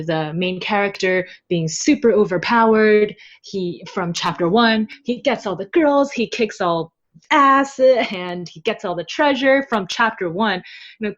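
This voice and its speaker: Female podcast guest, mid-pitched voice, casual explanatory chat, slightly dull low-fidelity recording. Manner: chatty, explanatory, informal. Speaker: podcast guest, web novel translator.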